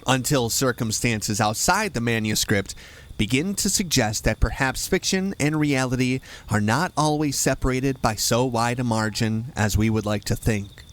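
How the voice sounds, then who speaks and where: A man hosting, reading a scripted campfire-style introduction with a measured storyteller delivery. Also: medium pitch, lively intonation. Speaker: host.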